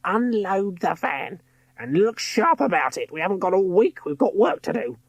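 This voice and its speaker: Sneery old villain lady, a guy putting her on, high thin husky voice, dry sound. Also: sing-song, normal speed, dry recording, lo-fi sound, full character voice. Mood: bossy. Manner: sneering, hissing. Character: sneering scheming old female villain inventor.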